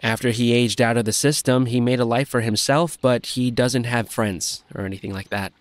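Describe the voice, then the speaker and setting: A man's voice: mid-range voice, steady tone, normal speed, dry recording, lightly acted. A man playing a shy, awkward young loner in scripted audio drama dialogue. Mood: reserved, awkward. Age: young adult.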